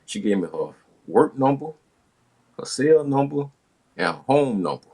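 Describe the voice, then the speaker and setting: A man's voice: mid-range voice, big pitch swings, a little slow, vocal fry, dark tone. An American man hosting a podcast, casually telling stories from his younger days in slangy, profane talk, acting out the dialogue as he goes. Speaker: podcast host.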